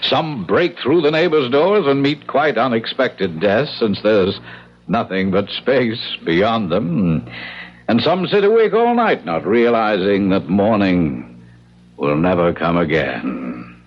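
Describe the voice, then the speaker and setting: On a dull, lo-fi vintage radio drama, a suave devil posing as a kindly old fellow. His pitch swoops theatrically up and down. He is gloating and elaborately courteous, in a mocking way. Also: animated, slow. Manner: urbane, mock-courteous, theatrical. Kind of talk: 1970s radio drama acting.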